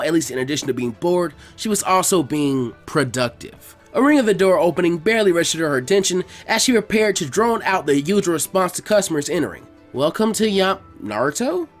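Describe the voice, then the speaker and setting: A man narrating a story from a script. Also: fairly high pitch. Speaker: narrator of fan fiction readings.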